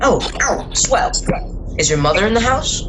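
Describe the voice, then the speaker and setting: A woman's voice: mid-range voice, sing-song, moderate pace, heavy background noise, close to an everyday voice. A woman playing an easygoing, teasing suburban husband and father, with a casual, folksy manner, in an amateur radio drama. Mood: cheerful, fatherly.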